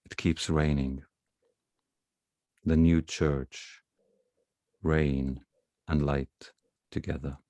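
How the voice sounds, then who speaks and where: Very deep, slow Estonian-accented man. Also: level tone, mellow, no echo. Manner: slow, measured, hesitant between poems. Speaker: guest poet. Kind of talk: poetry reading.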